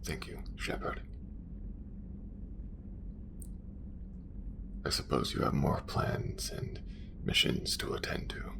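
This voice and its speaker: A man voicing a calm, devout male alien assassin in an ASMR romance roleplay, whispering in slow, hushed, formal phrases. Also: close to an everyday voice. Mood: gentle. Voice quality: gravelly whisper.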